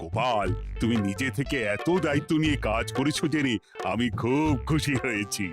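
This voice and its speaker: A man voicing a kindly, authoritative king in a cartoon, with a measured, commanding manner. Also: mid-range voice, over music, natural voice. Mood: pleased. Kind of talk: cartoon voice acting.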